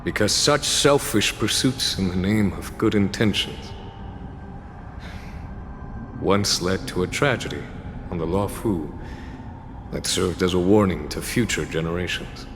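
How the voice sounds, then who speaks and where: Deep, solemn fantasy general. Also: male voice, noisy background. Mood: solemn, explanatory. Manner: measured, solemn, formal. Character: composed, grave, philosophical male general of a fantasy alliance. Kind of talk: video game cutscene voice acting. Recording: reverberant hall under clear music.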